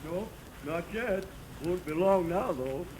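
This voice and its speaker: A man's voice: high-pitched, expressive, takes it slowly, darkish tone, slight background noise, rough recording. A man playing an easygoing young filling station attendant in a 1930s radio comedy, on a vintage recording. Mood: casual, helpful.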